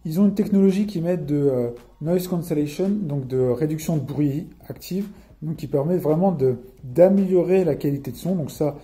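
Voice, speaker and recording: A man's voice: a little high, moderate pace, few pauses, warm tone. A man with a French accent casually walks through a product as a reviewer, reading out specs and explaining them as he goes.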